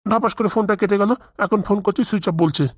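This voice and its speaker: A man's voice: sits high, fast, no room sound, clean studio sound. A man voicing a defensive, whining old father who lends money, in a comedy cartoon. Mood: puzzled. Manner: whining, defensive, blame-shifting.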